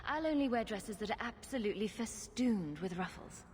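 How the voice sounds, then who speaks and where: Wry, lively heroine voiced by a woman in reverb. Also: a little high, moderate pace, slight background noise, natural voice. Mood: dry, probing. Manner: dry, sardonic. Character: wry, sarcastic heroine, confident and bold. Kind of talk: video game dialogue.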